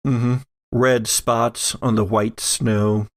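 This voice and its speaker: A man narrating a tabletop roleplaying game conversationally as game master, pausing to think as he goes. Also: medium pitch, flat delivery.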